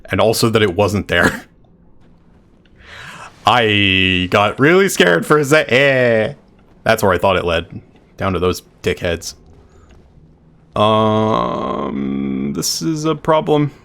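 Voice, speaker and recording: A man with a deep voice, chatting casually and thinking out loud while he streams a video game, swearing freely. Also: big pitch swings, slow pace, vocal fry, pauses often.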